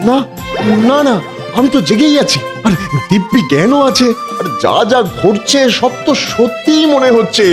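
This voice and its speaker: Man voicing a high-pitched, flustered cartoon king. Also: animated, normal speed, over music. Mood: bewildered. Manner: flustered, repeating his words. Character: bewildered, anxious castaway man newly made king. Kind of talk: cartoon voice acting.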